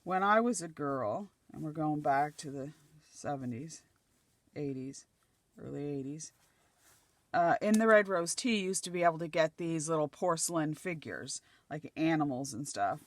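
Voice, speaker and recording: Chatty middle-aged woman, slightly croaky voice. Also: low voice, lively intonation, normal speed, no room sound. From Nova Scotia, Canada. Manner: chatty, thinking aloud while gluing collage pieces. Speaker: craft channel host. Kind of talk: casual crafting chat.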